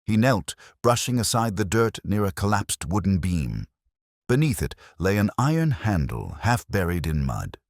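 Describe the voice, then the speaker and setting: A man narrating a scripted mystery story as an audiobook, in a measured, literary and solemn manner. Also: fairly low pitch, very animated, no room sound, clean studio sound.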